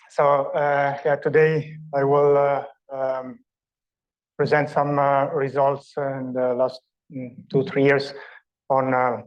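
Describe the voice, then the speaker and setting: A man giving an academic lecture in a hall, speaking haltingly with frequent "uh" fillers. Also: mid-range voice. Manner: hesitant, many "uh" fillers, steady even delivery. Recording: dull, lo-fi lecture recording.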